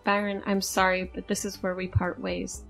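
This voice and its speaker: A woman's voice: medium pitch, completely flat delivery, moderate pace, gravelly, fairly bright tone, soft background music, low-quality recording. A woman playing an exhausted, tender and resigned female warrior in an audio drama. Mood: weary, regretful.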